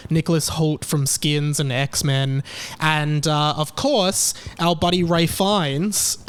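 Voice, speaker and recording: A man's voice: a little high, clean tone. A young Australian man hosting a podcast, giving his opinions in an emphatic, casual monologue. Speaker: podcast host.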